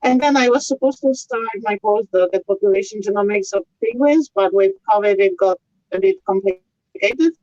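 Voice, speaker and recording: A woman's voice: medium pitch, normal speed, a little mellow, dry recording. A young woman from Poland, talking informally as a guest researcher on an online panel. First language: Polish. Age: young adult. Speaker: guest, early career researcher. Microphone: video call. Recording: dull, lo-fi video call audio.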